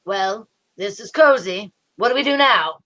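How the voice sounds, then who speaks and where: A woman's voice: mid-range voice, lively intonation, measured pace, a bit bright, low-quality recording. A woman playing a fussy, droll, superstitious male sidekick in a radio mystery, arch and theatrical. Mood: droll, dismayed. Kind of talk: old-time radio comedy-mystery acting.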